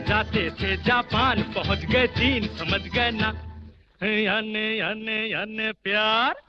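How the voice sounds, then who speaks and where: A man's voice: high voice, steady tone, slow pace. A man singing a playful old Indian film song over its musical accompaniment. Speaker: singer. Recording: dull vintage film song with loud band. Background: loud film music.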